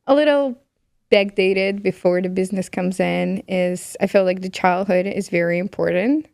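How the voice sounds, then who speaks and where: A woman's voice: mid-range voice, normal speed, gravelly, a little mellow, smooth, no echo, clean studio sound. A woman from Russia hosting a podcast, asking questions in a chatty, encouraging way. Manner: chatty, encouraging, frequent backchannels. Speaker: podcast host. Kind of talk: casual interview questions.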